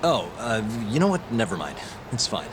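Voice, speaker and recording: A man playing a laid-back, deadpan private detective in a video game dub. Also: lowish voice, normal speed, noisy background. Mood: awkward.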